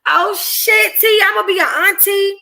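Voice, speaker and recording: A woman voicing an outspoken young best friend in a novel, animated, slangy and blunt. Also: very high voice, a little slow, gravelly, voice pushed up, exaggerated voice. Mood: excited, challenging.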